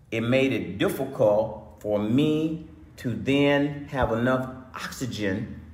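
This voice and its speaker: A middle-aged man, a doctor, lecturing to a phone camera in an urgent care clinic, speaking slowly and repeating key phrases for emphasis. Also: mid-range voice. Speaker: doctor giving a health lecture. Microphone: phone camera at a short distance. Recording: echoey clinic room.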